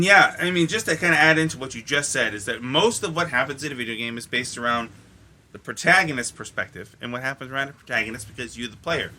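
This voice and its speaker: A man's voice: medium pitch, sharp tone, slight background noise. A man co-hosting a podcast in casual conversation, talking in an emphatic, blunt way with some swearing. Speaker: podcast co-host. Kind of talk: casual chat about movies and games.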